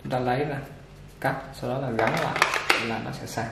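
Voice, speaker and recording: Guy casually unboxing clippers, middle voice, lots of uh's, roomy echo, motor buzzing loud behind. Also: mid-range voice, fairly quick, gravelly. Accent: Vietnamese. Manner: relaxed, talks while handling the product, many fillers. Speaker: product reviewer for a barber supply shop. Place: indoor shop table with clipper boxes. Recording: echoey room with machine noise. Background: clipper motor buzz and clicks.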